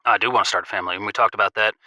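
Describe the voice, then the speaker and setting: A man's voice: lowish voice, steady tone, very fast, bright, forceful tone, no room sound, low-quality recording. A man playing an earnest, worried outdoorsy husband who calls a radio advice show, hesitating and repeating words. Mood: worried, exasperated. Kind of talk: improvised radio call-in drama.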